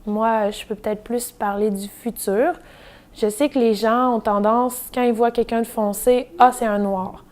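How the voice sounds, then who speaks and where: A woman's voice: a little high, steady tone, unhurried, darkish tone. A woman giving casual, thoughtful answers in an interview. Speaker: documentary interviewee. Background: faint music.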